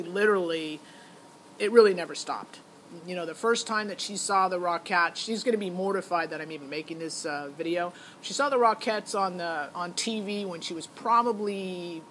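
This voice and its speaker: A woman's voice: fairly low pitch, a bit bright, a little hiss or hum, low-quality recording. A middle-aged woman giving a casual, rambling personal account as a parent, chatty and emphatic. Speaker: parent vlogger.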